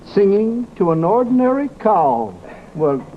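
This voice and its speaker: Very high-voiced, dithering professor. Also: male voice, measured pace. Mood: alarmed, excitable. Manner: hesitant, stammering, fussily excitable. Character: fussy, dithering, credulous male professor. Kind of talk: old-time radio comedy acting. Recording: muffled, noisy old radio recording.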